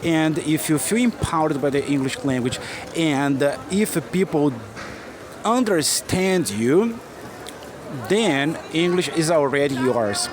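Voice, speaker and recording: A man's voice: a little high, animated, slow pace. A Brazilian man, a native Portuguese speaker, giving an earnest, encouraging English lesson outdoors and repeating his key phrases. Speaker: English teacher. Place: busy city street outdoors. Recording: noisy outdoor street recording. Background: street noise and traffic.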